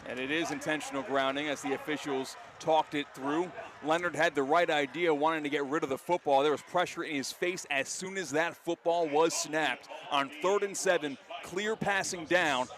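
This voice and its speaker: A fairly high-voiced American man calls football live as a play-by-play announcer. His delivery is excitable and climbs toward shouting on big plays. Outdoor stadium noise sits audibly behind his voice. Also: normal speed, hardly any pauses.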